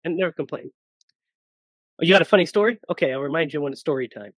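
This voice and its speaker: A man in his thirties hosting a casual podcast, hoarse from a cold and joking around with his co-hosts. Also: a little high, racing, no echo, studio quality. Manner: joking, laughs often. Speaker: podcast host.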